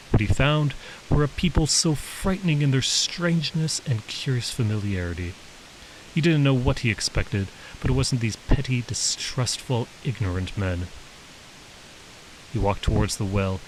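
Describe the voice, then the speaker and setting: Male author narrating his fiction, lower-pitched voice, recorded with faint steady hiss. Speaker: author reading own story.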